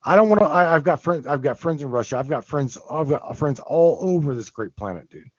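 A man's voice: on the high side, animated, fairly quick, no echo. A man hosting a podcast, talking earnestly, stammering and repeating himself. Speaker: podcast host. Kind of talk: casual chat. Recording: muffled lo-fi sound.

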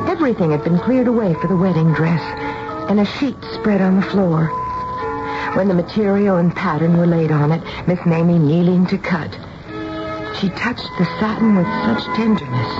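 An actress playing a kindly but firm middle-aged mother in a radio drama, speaking in an earnest, soothing, measured way. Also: lowish voice, close to an everyday voice. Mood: wistful narration. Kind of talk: radio drama acting and first-person narration. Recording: dull, noisy old radio recording.

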